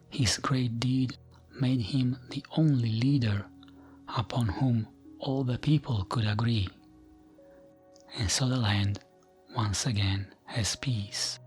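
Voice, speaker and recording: Man, ASMR lore narration, soft breathy near-whisper, slow pace, gentle, soothing, medium pitch, background music. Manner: gentle, soothing, unhurried storytelling.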